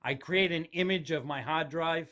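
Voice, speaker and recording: A man's voice: fairly high pitch, normal speed, bright, forceful tone. A middle-aged man narrating a casual, unscripted tour in a plainspoken, explanatory way. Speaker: hobbyist video host. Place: home room with radio gear, indoors.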